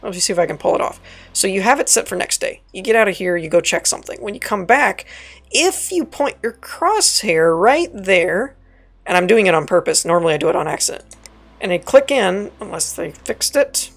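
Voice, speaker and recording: A woman with a raspy, dry voice giving casual let's play commentary, reading game text aloud and correcting herself as she goes. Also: mid-range voice, animated, brisk. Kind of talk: casual gameplay tutorial commentary.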